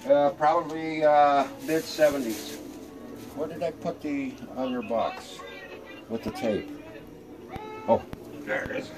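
A man's voice: fairly high pitch, steady tone, slow, pauses often, over music. An older man giving a casual hands-on demonstration, talking tersely as he works indoors. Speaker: reel-to-reel technician. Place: small room indoors.

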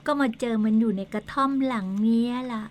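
An actress playing a gentle but insistent young female ghost in a radio ghost drama, speaking softly and teasingly. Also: fairly high pitch, fairly quick, close to an everyday voice. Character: soft-spoken young female ghost, coaxing.

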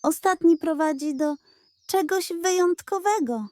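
A woman voicing a quick, clever young female fox in a children's fairy tale. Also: very high voice, darkish tone, no echo, pitched above the performer's own voice. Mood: mysterious, playful.

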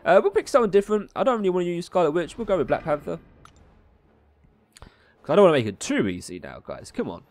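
A man's voice: high-pitched, very animated, fast, long pauses. A man hosting a gaming video with chatty, colloquial, casual commentary. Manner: chatty, colloquial, reads story dialogue aloud theatrically. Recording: music behind the voice. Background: game music.

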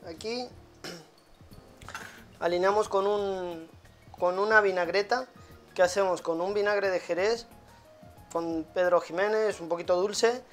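A man's voice: high-pitched, slow pace, pauses often. Male chef demonstrating, music behind. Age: 30s. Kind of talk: casual cooking demonstration. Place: small tiled restaurant kitchen.

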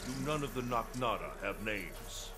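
A man voices a solemn, commanding god of thunder for a fighting game. He speaks formally and imperiously at a middling pitch, with clear music and effects behind him. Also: animated, a little slow, natural voice. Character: stern, solemn male thunder god, protector of realms.

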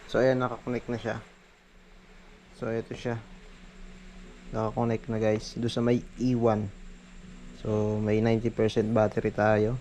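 A man's voice: lowish voice, monotone, unhurried, pauses often, dark tone. A man with a Filipino accent gives a casual hands-on product demo as a reviewer, informally addressing his viewers. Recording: noisy amateur recording with background ambience.

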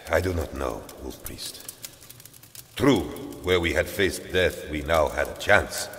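An actor playing a grave, battle-worn space warrior, telling his tale in a measured, solemn dramatic style. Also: extremely low pitch, animated, gravelly, fairly bright tone, slight background noise, exaggerated voice. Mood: troubled. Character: brooding battle-worn Space Wolf warrior recounting a lost battle. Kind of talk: dramatic audio-drama storytelling. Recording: long reverb with music underneath.

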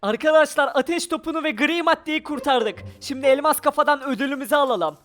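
A gamer giving excited, exclamatory gameplay commentary with role-play, narrating every action aloud in speech. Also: sits high, a little fast. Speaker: gaming video creator. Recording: music behind the voice. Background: background music.

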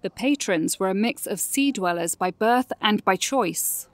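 A woman's voice: mid-range voice, lively intonation, unhurried, no echo, natural voice. A woman acting as storybook narrator of a scripted fantasy audio drama. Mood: narrating.

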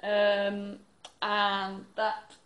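A woman's voice: mid-range voice, monotone, drawn-out words, a bit bright, dull audio. A young woman from England chatting casually to a phone camera held at arm's length, rambling with lots of fillers. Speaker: vlogger. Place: bedroom, then indoors at home.

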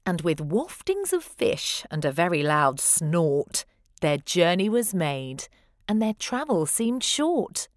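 A woman's voice: lowish voice, big pitch swings, takes it slowly, no room sound, clean studio sound. A woman reading a rhyming children's story aloud, expressively and with dramatic emphasis. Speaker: storybook reader.

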